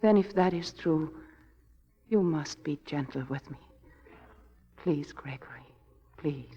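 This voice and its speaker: Fragile nervy wife in a 1940s radio play, pleading and breathy, muffled old broadcast sound. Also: female voice, deep voice, slow, dark tone, heavily acted. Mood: despairing. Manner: breathless, pleading, emotionally volatile. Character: anxious, fragile, pleading wife doubting her own mind. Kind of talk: 1940s radio drama acting. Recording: dull vintage 1940s radio recording.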